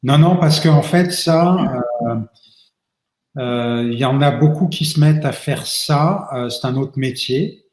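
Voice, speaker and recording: A man's voice: fairly high pitch, measured pace, a little mellow. A man, an immigration lawyer, answers audience questions at length, with many fillers and restarts. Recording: roomy echo.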